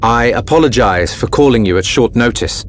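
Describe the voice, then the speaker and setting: An actor playing a dignified, courteous prince in a video game, speaking formally and solemnly. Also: male voice, mid-range voice, expressive, normal speed, a little hiss or hum, lightly acted. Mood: solemn, contrite. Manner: formal, stately. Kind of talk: video game cutscene acting.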